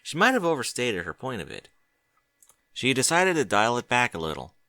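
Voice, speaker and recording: A man narrating a fan fiction audiobook in a steady storytelling manner. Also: mid-range voice, moderate pace, no echo, studio quality.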